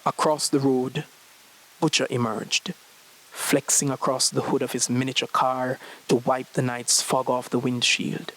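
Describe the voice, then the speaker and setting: Story reader: young adult male, medium pitch, scripted literary narration. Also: flat delivery, measured pace, a bit bright, poor audio.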